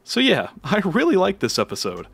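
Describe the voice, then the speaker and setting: A man's voice: sits high, wildly swooping pitch, brisk, vocal fry, fairly bright tone. A man reading scripted narration for a video, with music behind him. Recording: music under the voice. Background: background music.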